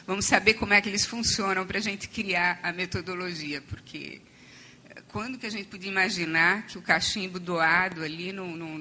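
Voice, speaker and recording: Hoarse woman giving a lecture. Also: mid-range voice, bright, forceful tone, slight background noise, rough recording. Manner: explanatory, with frequent fillers and restarts. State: losing her voice. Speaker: conference panel speaker on addiction treatment. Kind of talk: academic conference talk. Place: conference hall.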